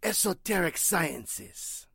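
A man performs a pompous male cult leader from a vintage radio mystery. His voice is gravelly and swoops widely in pitch. He is formal, halting and slippery, in a dry recording. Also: big pitch swings, pushed and bright, no echo, full character voice. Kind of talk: 1940s radio drama acting.

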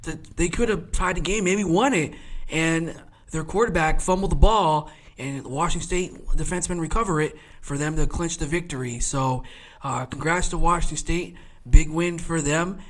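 A man in his late twenties, co-hosting a casual sports podcast. He talks in a chatty, rambling way, fills his sentences with fillers and often laughs. His voice sits a little above the usual male pitch. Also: moderate pace, fairly bright tone. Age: 20s. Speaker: podcast co-host.

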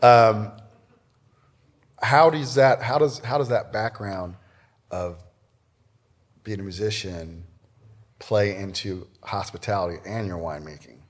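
A man's voice: on the low side, unhurried, long pauses. A man hosting a casual interview podcast, informal and snarky in the way he talks.

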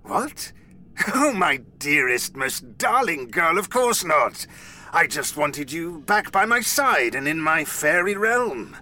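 A man's voice: fairly high pitch, very animated, slow pace, sharp tone, a little hiss or hum, full character voice. Theatrical, wheedling fairy king. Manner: wheedling and theatrical, pleading then threatening. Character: vain, manipulative fairy king father.

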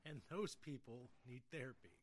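A man's voice: mid-range voice, moderate pace, a crowd in the background. A man hosting a podcast in a casual, jokey, slangy way with plenty of swearing. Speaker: podcast host.

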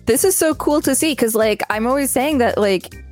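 A woman's voice: sits high, normal speed, faint music behind. A woman co-hosting a podcast, reading reference text aloud with casual commentary. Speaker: podcast co-host.

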